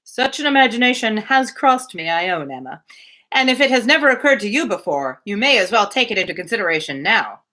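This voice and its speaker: A woman reading the part of a grumpy, blunt, sly gentleman with formal, emphatic phrasing in a storybook. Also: medium pitch, very animated, fairly quick. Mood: sly, frank.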